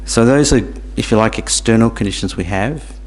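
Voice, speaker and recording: A man born in Tanzania, teaching Buddhism to a small group in a halting, repetitive way, with questions for the audience. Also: lowish voice. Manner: halting, repeats words, asks the audience questions. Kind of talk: dharma talk to a small group.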